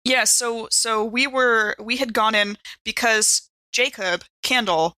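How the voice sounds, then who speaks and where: A woman's voice: on the high side, steady tone, slow pace, pushed and bright, no echo, rough recording. A woman improvising in character in a tabletop roleplaying podcast, wordy and hesitant as she pieces things together. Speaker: player in an actual-play podcast.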